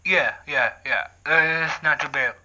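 A young man vlogging from his living room, excitedly talking through a handheld bullhorn and often repeating and restarting his sentences. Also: medium pitch, measured pace, lo-fi sound. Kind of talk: casual vlog to camera. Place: living room at home, indoors. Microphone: camera on tripod, talks through a handheld bullhorn.

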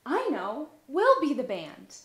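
A woman's voice: high voice, huge pitch swings, slow pace, a bit bright, pitched above the performer's own voice, exaggerated voice. A woman voicing an eager, stubborn little girl pig in a storybook, with childlike insistence. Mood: insistent. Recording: roomy indoor echo.

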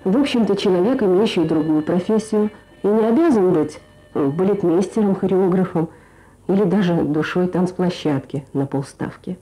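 A woman's voice: lowish voice, moderate pace, warm tone, rough recording. A woman presenter reading a scripted feature in the style of Soviet radio. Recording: archive radio broadcast with music.

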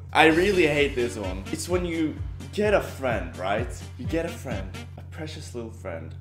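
A young man hosting a casual vlog at home, energetic and playful. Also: medium pitch, measured pace, roomy sound, faint music behind. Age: young adult. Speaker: host. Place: indoor home room, kitchen behind.

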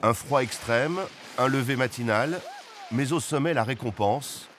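A man giving scripted, measured narration for a television travel report. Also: medium pitch, fairly bright tone, a little hiss or hum. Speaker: documentary narrator.

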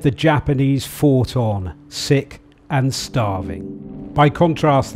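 A man narrates a scripted documentary in a medium-pitched voice. Faint ambient noise runs behind him. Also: darkish tone.

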